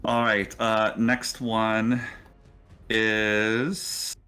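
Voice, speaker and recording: A man with a lowish, bright and forward voice acts as game master for a tabletop game. He improvises as he narrates and walks players through turns and rules, with music playing faintly underneath. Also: little pitch movement, extremely slow.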